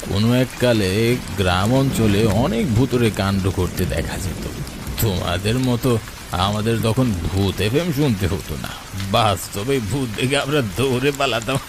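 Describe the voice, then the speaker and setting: Older village storyteller played by a man, lowish voice, suspenseful ghost-tale narration for a cartoon, clear cricket and night noise behind. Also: lively intonation, normal speed. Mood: reminiscing. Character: older village man recounting his youthful ghost encounter. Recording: noisy night ambience with chirping crickets.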